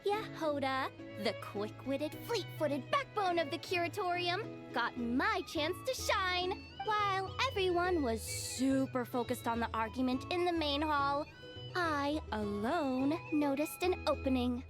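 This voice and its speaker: An excitable young mechanic girl from an anime game. She brags with theatrical, cocky flair and a very animated pitch, over faint background music. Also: measured pace. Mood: boastful. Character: boastful, excitable, quick-witted young female mechanic.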